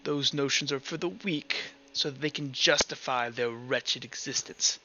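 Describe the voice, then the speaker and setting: A man voicing a lanky, menacing evil queen with grandiose ambitions, speaking in a slow, pompous way for a tabletop game. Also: mid-range voice, pushed and bright, highs cut off. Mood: imperious. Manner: slow, imperious, pompous delivery.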